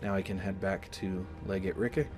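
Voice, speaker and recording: A low-pitched man hosts a casual gaming video with a slight cold in his voice. He explains things deliberately, stopping and restarting, over clear background music. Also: fairly even intonation. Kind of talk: casual let's-play commentary with in-character recaps. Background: game music.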